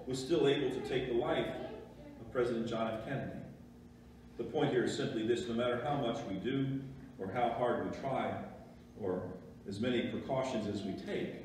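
Echoey American male pastor preaching a sermon. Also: medium pitch. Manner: measured, rhetorical questions, emphatic pauses. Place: church sanctuary. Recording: very echoey church, distant mic, background noise.

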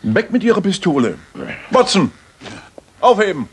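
An actor playing a keen, precise, authoritative gentleman detective in a German radio drama from the 1980s. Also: sits high, sing-song, moderate pace. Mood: commanding. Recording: slightly noisy retro radio recording.

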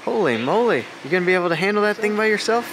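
A man's voice: high voice, animated, racing, background noise. A man in his forties, host of a food vlog, chatting eagerly as he eats at a restaurant with the camera held close. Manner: enthusiastic, chatty, narrates while eating. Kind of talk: casual food vlog chat. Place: indoor restaurant, then outdoor beach. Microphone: handheld camera held close.

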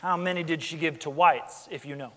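In a reverberant theatre, a methodical 1890s lawyer played by a young man examines a witness. His fairly high voice is formal and deliberate, in the manner of a dramatic reading. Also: steady tone, a bit bright, lightly acted. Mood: formal, probing. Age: young adult. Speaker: student actor in a staged reading. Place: large theatre stage, indoors. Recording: echoey theatre hall, long reverb.

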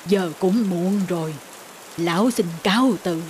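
A woman's voice: on the low side, unhurried, slight background noise, natural voice. Woman voicing an old beggar man immortal. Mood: humble pleading. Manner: humble and pleading, later calm and solemn. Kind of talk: cartoon voice acting. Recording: loud background music.

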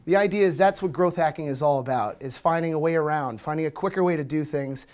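A man with an American accent, in his thirties, gives a relaxed talk at a meetup in front of a projector screen. His voice sits a little high, and he speaks loosely with frequent "um"s and "uh"s. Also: quick pace. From Washington, D.C., USA. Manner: relaxed, chatty, many fillers. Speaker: conference speaker. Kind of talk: casual presentation talk.

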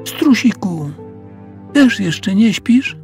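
A man's voice: high-pitched, lively intonation, a little mellow, faint music behind, voice pushed up, exaggerated voice. A man voicing a sensible young schoolgirl with an emphatic, chiding manner in a children's audio story. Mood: tender. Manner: emphatic, drawn-out questions.

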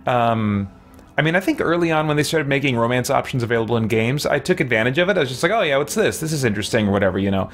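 A man's voice: mid-range voice, expressive, fast. A man around his forties gives casual game commentary, rambling and thinking aloud as he plays. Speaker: streamer playing a role-playing game. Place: indoor room lined with shelves. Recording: clear background noise.

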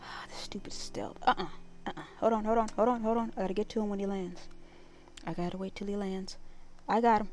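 A woman's voice: medium pitch, steady tone, brisk, a little mellow. A woman livestreaming a game with casual, playful, chatty live commentary. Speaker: gaming livestreamer.

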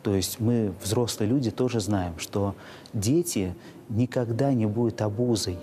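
A man in his thirties, a priest explaining in an interview, measured and searching for words. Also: on the low side, mellow, slight background noise. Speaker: priest, parish rector. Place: inside a church.

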